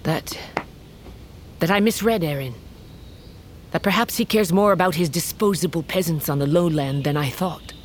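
An actress playing a stern, burdened queen of a wolf tribe in an audio drama, speaking in a grave, measured, formal way. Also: on the low side, slight background noise, natural voice. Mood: worried, brooding. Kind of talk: audio fiction drama acting.

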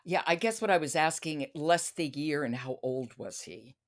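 Very low-voiced woman hosting a podcast. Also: moderate pace. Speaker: podcast host. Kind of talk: conversational interview.

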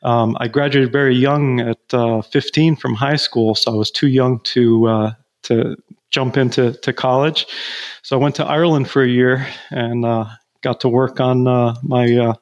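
A man's voice: mid-range voice, fairly even intonation, normal speed. A man in his forties from Belize, a guest on an interview podcast, telling his life story in a chatty way with frequent laughs and restarts. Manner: chatty, laughs often, many restarts and fillers. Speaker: guest, painting and roofing contractor.

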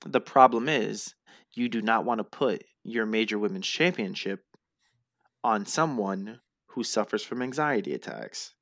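A man co-hosting a podcast, chatting casually and ranting bluntly with coarse language. He says his own voice is high. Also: a little slow, dry recording, clean studio sound. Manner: blunt, profane, animated ranting. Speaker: podcast co-host. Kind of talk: casual chat.

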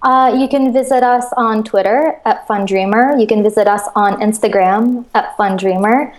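A woman's voice: fairly high pitch, normal speed. Enthusiastic woman from Russia on a video call. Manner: enthusiastic, chatty, laughs often. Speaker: guest, crowdfunding platform co-founder. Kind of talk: casual interview chat.

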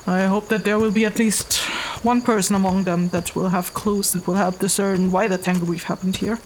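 A woman's voice: mid-range voice, fairly even intonation, brisk, a little mellow, slight background noise, lightly acted, rough recording. A woman playing a weary, hesitant traveling investigator in a fantasy audio drama, speaking matter-of-factly. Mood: hesitant, rambling. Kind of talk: fantasy audio drama, narrated log and dialogue.

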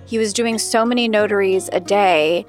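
A woman's voice: mid-range voice, moderate pace, faint music behind. A woman giving a personal, spoken reminiscence as an interviewee. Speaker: interviewee remembering her late father.

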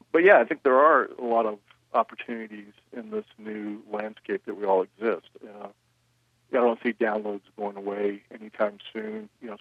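Man, low voice, record label executive, measured interview answers, narrow phone-like sound, dry. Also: no echo. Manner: measured, many "you know" fillers.

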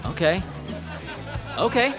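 Teenage jock, very varied pitch. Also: male voice, lively intonation, takes it slowly. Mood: hesitant, daring. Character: hesitant teenage male high school athlete. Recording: music and outdoor crowd behind.

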